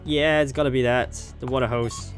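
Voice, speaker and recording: A man's voice: mid-range voice, fairly even intonation, normal speed, soft background music. A man hosting a gaming video, playfully thinking aloud and exclaiming as he comments casually on the playthrough.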